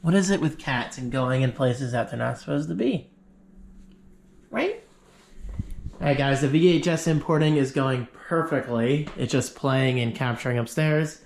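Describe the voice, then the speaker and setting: A man's voice: mid-range voice, normal speed, vocal fry, darkish tone, slight background noise. A man talking casually to the camera in a home vlog, chatty and upbeat with rambling asides, sounding slightly congested. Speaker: vlogger. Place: at home, indoors.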